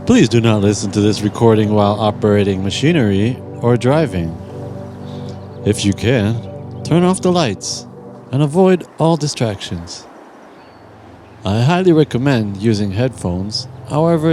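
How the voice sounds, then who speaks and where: A man narrating a guided meditation in a gentle, soothing, deliberate manner with long pauses. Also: lowish voice, animated. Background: music.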